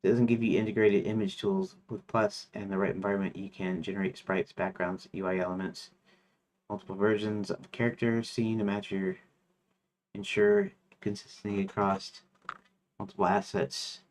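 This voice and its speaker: Rambling low-voiced man streaming gamedev. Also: normal speed, slight background noise. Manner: rambling, hesitant, many fillers and restarts; reads chatbot feature lists aloud in a flat mutter. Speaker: game developer streaming his work. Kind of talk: casual thinking-aloud stream chat.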